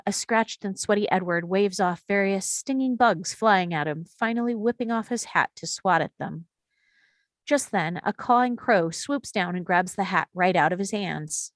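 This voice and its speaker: A woman's voice: mid-range voice, no echo. Woman reading screenplay directions, dry sound. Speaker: narrator reading stage directions. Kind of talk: scripted narration at a screenplay table read.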